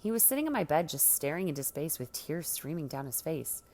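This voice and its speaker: A woman reading a first-person teenage story aloud from a script, with dramatic, expressive delivery. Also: sits low, fairly quick. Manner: dramatic, acts out the dialogue. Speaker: story reader.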